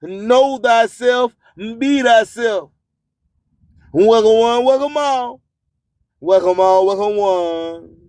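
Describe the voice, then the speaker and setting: A man's voice: very high-pitched, slow pace, a little mellow, dry recording, clean studio sound. A man hosting an internet radio show in an emphatic, declamatory hype style, repeating slogans.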